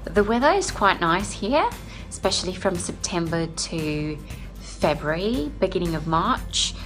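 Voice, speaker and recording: A woman's voice: on the low side, big pitch swings, measured pace, a bit bright, faint music behind, low-quality recording. A young adult Brazilian woman and English teacher answering interview questions earnestly, with many "uh" fillers. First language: Portuguese. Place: home in Sydney.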